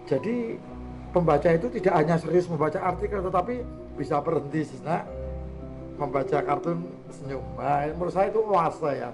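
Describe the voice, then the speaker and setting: A man speaking as a guest in a conversational discussion, explaining things with frequent hesitant pauses. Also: fairly high pitch, over music. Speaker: guest speaker on cartoon art.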